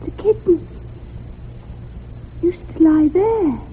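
A woman's voice: extremely high pitch, a little hiss or hum. A woman playing a ghostly little girl in a radio drama, plaintive and childlike, repeating herself. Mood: plaintive, eerie. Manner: slow, repetitive, childlike questioning. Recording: tinny phone-like band, faint music.

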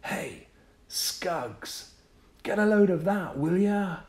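A man voicing an excitable schoolboy in an audiobook, exclaiming proudly and breathily. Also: high voice, slow, reverberant, pitched above the performer's own voice. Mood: proud excitement. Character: excitable schoolboy showing off comics to friend. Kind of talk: audiobook character voice.

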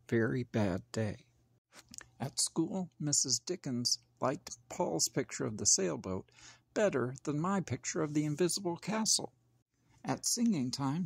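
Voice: mid-range voice, creaky, a little mellow, husky, no echo. A storybook narrator reading aloud expressively as a grumpy young boy, with dramatic, emphatic phrasing.

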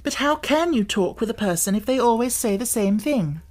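A woman's voice: on the high side, lively intonation, moderate pace, darkish tone, voice pushed up. A woman voicing a spirited, bossy yet affectionate young girl in a storybook audiobook, with emphatic, scolding and coaxing delivery. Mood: mildly vexed.

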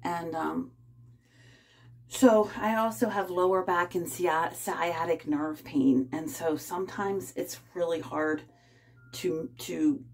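A woman in her fifties vlogging from her bathtub, talking in a rambling, halting way with many fillers. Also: on the low side, slightly rough. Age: 50s. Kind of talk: casual personal vlog. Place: small bathroom, in a bathtub. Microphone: phone held close.

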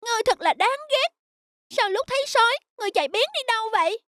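A woman voicing a small, naive young donkey with a childlike, earnest manner in an animated fairy tale. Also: extremely high pitch, quick pace, a little hiss or hum. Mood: cross.

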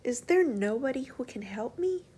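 Woman as an earnest, high-voiced young male sea turtle. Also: animated, normal speed, dark tone, breathy edge. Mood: determined. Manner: eager, pleading, exclamatory.

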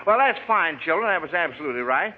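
A man's voice: fairly high pitch, big pitch swings, fast talker. An American man hosting a 1940s radio quiz show with folksy schoolteacher patter. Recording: dull, noisy 1940s radio recording.

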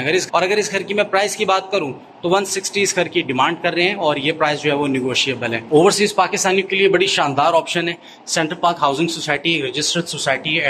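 Urdu-accented male host, slightly high voice, house tour patter, faint room noise. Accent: Pakistani Urdu. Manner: steady salesman patter, repeating stock phrases. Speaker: real estate YouTuber giving a house tour. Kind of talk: walkthrough narration. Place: inside an empty new house.

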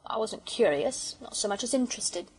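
A woman's voice: fairly high pitch, expressive, normal speed, slightly rough, voice pushed up, heavily acted, rough recording. A woman voicing a proud, sulky working-class English railway porter in a storybook, with colloquial speech and dropped aitches. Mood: opinionated.

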